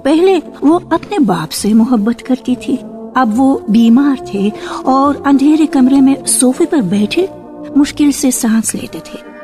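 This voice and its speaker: A woman narrating a radio drama from a script in a measured storytelling manner. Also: high-pitched, animated, mellow. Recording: archival radio with faint music.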